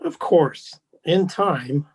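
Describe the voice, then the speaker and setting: An actor in a radio play playing a suave, insincere gentleman, teasing and smug, with affected, theatrical politeness. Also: fairly high pitch, extremely slow, a little hiss or hum. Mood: falsely reassuring.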